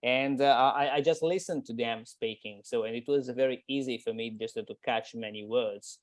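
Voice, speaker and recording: A man's voice: mid-range voice, no echo. A man with an Italian accent on a video call, taking part in a group discussion and often repeating words as he searches for them. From Italy. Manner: halting, many repeated words. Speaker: participant.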